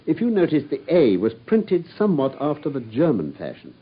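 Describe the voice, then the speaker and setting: Self-assured Victorian detective lecturing, husky tone, lively pitch, dull old radio sound. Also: male voice, fairly quick, full character voice. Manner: crisp, confident, lecturing. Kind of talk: radio drama.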